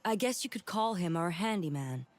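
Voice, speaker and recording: A woman playing a caring middle-aged village leader in an animated game, measured and earnest. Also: on the low side, lightly acted.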